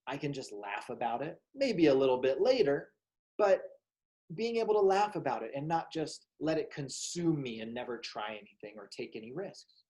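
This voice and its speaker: A man hosting a psychology show for kids, speaking in a slow, calm, encouraging way. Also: fairly high pitch. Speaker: show host. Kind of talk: gentle talk to children.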